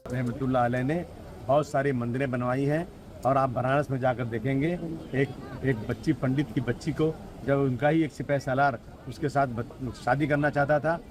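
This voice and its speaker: A man's voice: medium pitch, fairly even intonation, normal speed, soft background music. A man arguing a historical point in an interview. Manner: argumentative.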